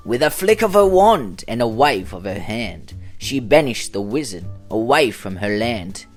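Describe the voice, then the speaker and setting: A man narrating a rhyming children's storybook as host, in an expressive, dramatic storytelling manner. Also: medium pitch, measured pace, soft background music. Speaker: storyteller, host of a children's read-aloud show.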